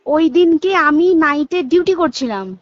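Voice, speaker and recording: A woman's voice: sits high, animated, measured pace, no room sound. A young woman with a West Bengal accent talking casually on a recorded phone call, explaining things emphatically and checking that the listener follows. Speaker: hospital staff member. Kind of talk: casual phone conversation recounting events.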